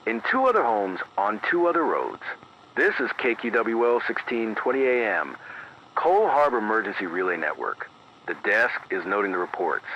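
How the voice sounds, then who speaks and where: A man playing a grave, careful late-night emergency announcer, reading a formal scripted bulletin with measured, precise delivery through an AM radio filter. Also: fairly low pitch, bright, forceful tone, full character voice. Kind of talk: scripted analog horror emergency news bulletin. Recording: dull band-limited AM radio, faint noise.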